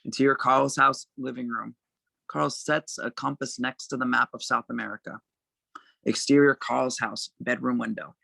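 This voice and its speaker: Casting: male narrator for script directions, crisp bright tone with some fry, dry sound. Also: mid-range voice, steady tone, moderate pace, no room sound. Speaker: narrator reading stage directions. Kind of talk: scripted narration at an amateur table read.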